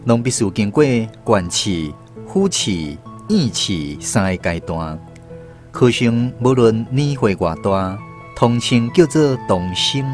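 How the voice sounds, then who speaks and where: A man narrating a radio drama in a scripted storytelling style. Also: lowish voice.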